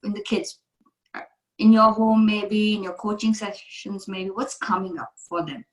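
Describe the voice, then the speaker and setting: A woman's voice: mid-range voice, fairly even intonation, moderate pace. Woman from India, host and coach; earnest, emphatic interviewer; roomy, echoey sound. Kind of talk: casual interview conversation.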